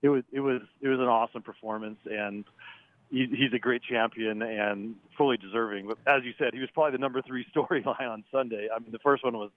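A man calling in as a golf writer guest. He talks in a chatty, halting way, restarts words often and chuckles now and then. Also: mid-range voice, fairly even intonation. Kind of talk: phone interview. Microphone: phone. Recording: tinny dry phone line.

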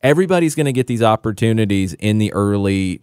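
Low smooth guy explaining soccer tactics on sports radio, sounds sure of himself. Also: animated, fast talker, darkish tone, no room sound, clean studio sound. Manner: confident, explanatory. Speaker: soccer broadcaster and guest analyst.

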